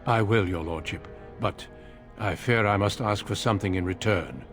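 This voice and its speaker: An actor playing a courteous, grave warrior recruiter in a video game, speaking in a measured, formal way. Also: male voice, lowish voice, over music, natural voice. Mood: solemn, firm. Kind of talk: dramatic video game acting.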